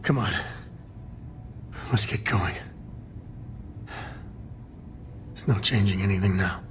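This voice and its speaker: An actor playing a gentle, resolute space explorer in a video game, grief-stricken, speaking in a hushed, halting way. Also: male voice, lowish voice, slight background noise, natural voice. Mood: resigned.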